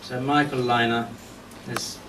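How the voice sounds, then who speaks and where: A man's voice: mid-range voice, level tone. Echoey, distant man giving a memorial tribute. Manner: storytelling in short phrases with deliberate pauses. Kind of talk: eulogy with humorous anecdotes. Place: golf club function venue at a memorial service. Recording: echoey distant mic with background noise.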